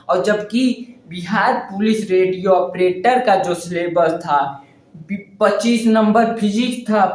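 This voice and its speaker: A young man addressing exam candidates directly, emphatic and repeating phrases for effect. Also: high-pitched. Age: young adult. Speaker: exam preparation vlogger. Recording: roomy, echoing indoor sound.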